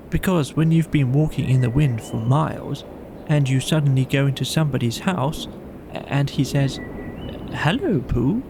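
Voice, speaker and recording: Man as a friendly simple toy bear, slow, hesitant, pondering, children's storybook, faint noise. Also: mid-range voice, creaky. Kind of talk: children's audiobook character voice.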